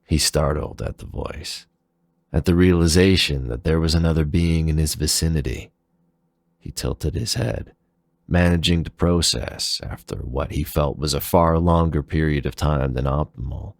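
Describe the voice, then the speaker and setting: A man reading dramatic fan fiction as a scripted narration in a measured, deliberate manner. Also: a bass voice, creaky, no echo, studio quality.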